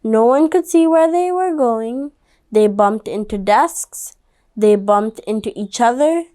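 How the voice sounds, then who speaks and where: A woman's voice: on the high side, lively intonation, slow pace, mellow, clean tone. A woman reading a picture book aloud to children, conversational and speaking directly to her young listeners. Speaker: storybook reader.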